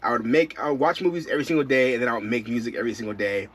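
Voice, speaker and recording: A man's voice: medium pitch, rapid-fire, slightly rough. Easygoing man chatting in a van. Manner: relaxed, rambling, with frequent fillers. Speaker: van-life vlogger. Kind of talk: casual chat to camera. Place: inside a camper van.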